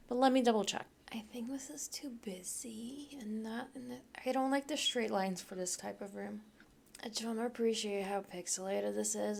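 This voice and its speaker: A woman's voice: a little high, gravelly, a little mellow. A young woman from Poland, chatting casually as a YouTuber while she builds in a game, rambling and thinking aloud.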